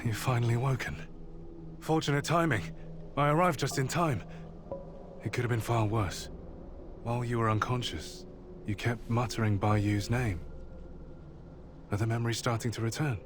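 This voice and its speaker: A man playing an earnest, protective comrade in a video game, speaking with serious, steady concern. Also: mid-range voice, moderate pace, pauses often, background noise, lightly acted. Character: earnest, protective male comrade, determined and wary. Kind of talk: dramatic video game cutscene acting.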